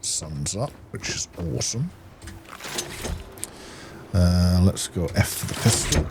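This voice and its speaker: Male gamer, unhurried delivery, casual think-aloud muttering, clearly noisy recording. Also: deep voice, big pitch swings. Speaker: gaming video host. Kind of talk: casual gameplay commentary, talking to himself.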